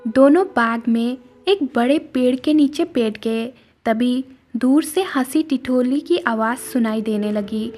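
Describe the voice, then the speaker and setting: A woman with a high voice narrates a scripted children's-style tale. Music plays behind her storytelling. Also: slow, hardly any pauses, darkish tone.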